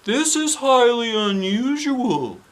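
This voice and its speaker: A man voicing an eccentric, exuberant middle-aged school principal from a children's storybook, with exaggerated, drawn-out delivery. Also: extremely high pitch, sing-song, voice pushed up, exaggerated voice. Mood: puzzled. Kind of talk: children's storybook character reading.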